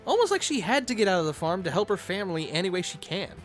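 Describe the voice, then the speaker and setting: Male narrator for a fan theory video, lively swinging intonation, slightly high voice, informal and playful, light music bed. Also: quick pace. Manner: playful, conversational asides. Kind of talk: animated, informal video essay commentary.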